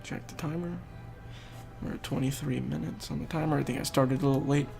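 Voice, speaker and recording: Young male gaming host, congested and gravelly, rambling play-by-play. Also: mid-range voice, fairly even intonation, a little fast, darkish tone, faint music behind. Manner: rambling, thinking aloud while playing. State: congested, losing his voice. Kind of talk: casual gameplay commentary.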